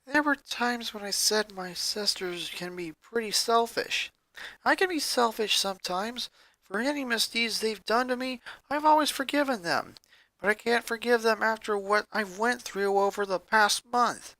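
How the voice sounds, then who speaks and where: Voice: medium pitch, normal speed, sharp tone, no echo, pitched above the performer's own voice, poor audio. A story reader voicing a hurt preteen boy who confides his grievances in a sullen way. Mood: hurt confession.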